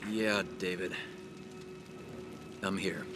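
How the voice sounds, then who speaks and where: A man's voice: low-pitched, steady tone, drawn-out words, over music. An actor playing a weary, determined male resistance fighter in a video game. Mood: somber.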